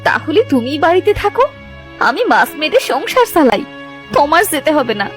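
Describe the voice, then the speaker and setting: A woman's voice: high voice, very animated, normal speed, faint music behind. A woman playing a fed-up, nagging and sarcastic village wife in a cartoon. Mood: scolding. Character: fed-up, scolding wife of a poor fisherman. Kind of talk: Bengali cartoon acting.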